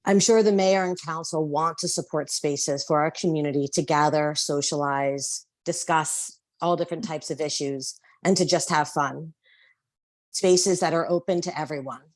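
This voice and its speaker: A woman with a loud voice calling in to make an earnest public comment at a council meeting. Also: low-pitched, steady tone, no room sound. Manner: earnest, measured advocacy. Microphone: phone or call-in line.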